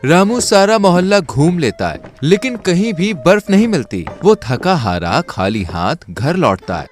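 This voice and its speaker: Man, story narrator, lively swooping pitch, slightly high voice. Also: a little slow, soft background music.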